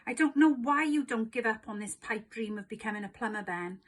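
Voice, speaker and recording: A woman voicing a vain, excitable mum with a gushing, coaxing manner in a storybook. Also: a little high, brisk, natural voice. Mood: hopeful, excited. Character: vain, excitable, hopeful mum who loves dancing.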